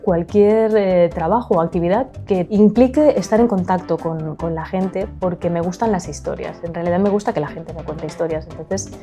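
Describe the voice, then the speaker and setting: A woman writer from Spain with a Spanish accent, answering interview questions in a soft-spoken, chatty way and laughing easily. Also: lowish voice, moderate pace, few pauses, faint music behind. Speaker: writer, podcast guest.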